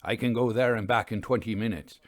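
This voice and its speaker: A man's voice: on the low side, steady tone, fairly quick, dry recording, studio quality, close to an everyday voice. A man voicing a thirtyish railway signalman from an old story, rustic and colloquial, eager and respectful toward his betters. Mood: obliging, deferential.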